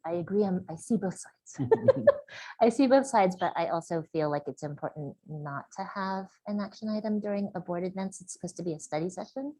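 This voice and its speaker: A woman trustee speaking at a board meeting, halting, often repeating and restarting her words. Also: low voice, mellow, no echo, poor audio. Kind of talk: meeting discussion.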